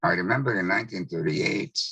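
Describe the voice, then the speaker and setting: A man in his nineties, a Hungarian native speaker from Czechoslovakia, slowly recalling his childhood with measured pauses. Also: low voice, sharp tone, slightly rough, no room sound. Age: 90s. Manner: slow, measured recollection with pauses. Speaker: Holocaust survivor speaker. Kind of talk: testimony talk.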